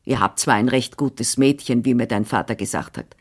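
A woman's voice: extremely low pitch, normal speed, voice pushed down. A woman reading the part of a stout older housekeeper who is firm, chatty and managing. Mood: bossy.

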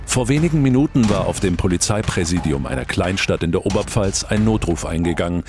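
A man's voice: sits low, lively intonation, brisk, music in the background. A man reading a scripted true crime story in a dramatic, suspenseful manner. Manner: suspenseful, acts out short quoted calls. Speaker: story narrator.

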